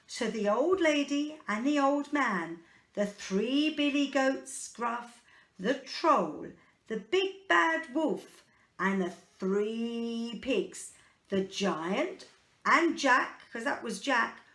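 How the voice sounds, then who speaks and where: A woman's voice: on the high side, lively intonation, slow, fairly bright tone, echoey room. A woman reading a picture book aloud to children in a chatty way, prompting them with simple questions. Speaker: children's storyteller.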